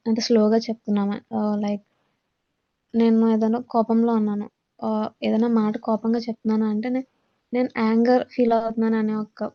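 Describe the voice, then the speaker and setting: A woman teacher with a Telugu accent gives an instructional lecture, switching between English and her own language. Her voice sits a little high, with a soft, mellow tone and noticeable creak. The audio is dull and lo-fi. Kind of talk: classroom-style lecture, reading English notes and explaining them.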